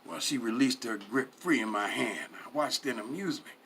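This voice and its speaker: A man reading a first-person story aloud in an animated, expressive way, with emphatic swells and hushed drops. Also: medium pitch, a little fast, a little hiss or hum. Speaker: story reader.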